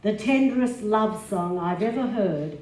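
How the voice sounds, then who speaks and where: Lady reading memoir live, slow but really animated, echoey room. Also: medium pitch. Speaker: stage reader. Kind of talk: dramatic reading of a memoir on stage. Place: live literary event in a hall. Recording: echoey hall, faint background noise.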